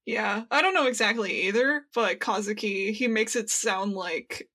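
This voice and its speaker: A woman's voice: mid-range voice, a little slow, bright, forceful tone. A woman co-hosting a podcast, retelling things in slangy casual chat and acting out quoted lines. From United States. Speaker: podcast co-host.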